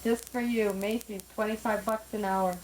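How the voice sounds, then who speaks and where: A woman's voice: mid-range voice, fairly even intonation, moderate pace, slight background noise, close to an everyday voice, low-quality recording. An actress playing a guarded woman in her thirties with a dry, sardonic way of talking. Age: 30s.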